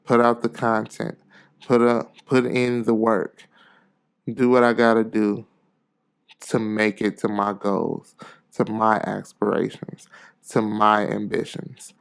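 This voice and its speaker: A man's voice: fairly low pitch, little pitch movement, slow pace, gravelly, smooth. A man hosting a podcast, a little congested from a cold, speaking in an earnest, casual, rambling motivational style and repeating phrases for emphasis. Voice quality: stuffy, congested nose. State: getting over a cold. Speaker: podcast host.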